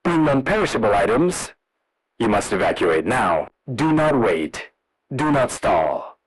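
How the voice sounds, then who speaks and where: A man's voice: medium pitch, sing-song, a little slow, slightly rough, no room sound, rough recording. A man delivering a scripted emergency broadcast as a formal alert announcer.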